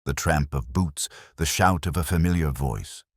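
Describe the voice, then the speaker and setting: A man's voice: a bass voice, normal speed, slightly rough, no room sound, studio quality. A man reading a mystery story as an audiobook narrator, in a dramatic scripted style.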